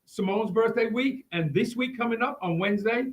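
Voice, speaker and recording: A man co-hosting a casual live talk show, excitable and often repeating his phrases as he goes. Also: high voice, brisk.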